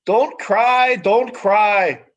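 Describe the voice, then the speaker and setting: A bossy storybook big brother, acted out by a man, pitched well up high. He is a boy who is easily annoyed and speaks with exasperated emphasis. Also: drawn-out words, fairly bright tone, heavily acted. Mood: urgent, soothing.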